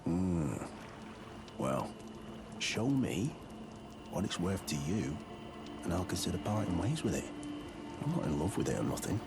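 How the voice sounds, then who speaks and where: Shifty game thug, low-pitched, unhurried, working-class English accent, blunt, surly, loud music behind. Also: male voice, sing-song, heavily acted. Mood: menacing, haggling. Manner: blunt, surly, slangy, swearing. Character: gruff, shifty male thug selling boiled prawns. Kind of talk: video game character acting.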